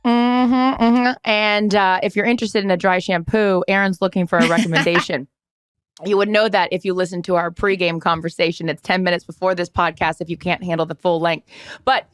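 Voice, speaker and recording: A woman co-hosting a podcast in casual chat, her voice hoarse, teasing and playful. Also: fairly low pitch, steady tone, fairly quick, no echo. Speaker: podcast co-host.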